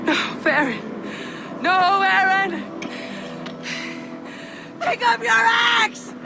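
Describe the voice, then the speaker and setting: Desperate Viking wife, very high voice. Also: female voice, expressive, drawn-out words, vocal fry, loud music behind the voice, exaggerated voice. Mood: desperate pleading. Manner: crying out, urgent and pleading. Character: desperate, fierce Viking wife pleading with her husband. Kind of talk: dramatic video game cutscene acting.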